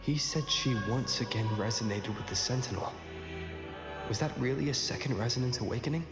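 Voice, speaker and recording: Pondering fantasy hero, mid-pitched, questioning inner monologue, long reverb, video game dialogue over music. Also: male voice, fairly quick. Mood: doubtful, pondering. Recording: echoey long reverb, muffled, music behind.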